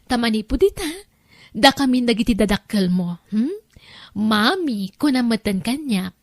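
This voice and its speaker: A woman's voice: on the high side, animated, moderate pace, no room sound, studio quality. An Ilocano-accented woman playing a coaxing, insistent wife in a radio-style drama, speaking emphatically.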